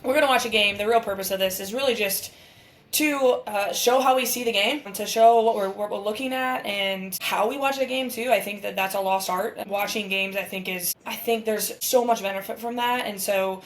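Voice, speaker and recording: A woman in her thirties with a raspy voice, co-hosting and giving casual live sports commentary in an enthusiastic, candid way. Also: mid-range voice, normal speed. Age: 30s. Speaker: podcast co-host and amateur sports commentator. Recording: roomy echo, distant from mic.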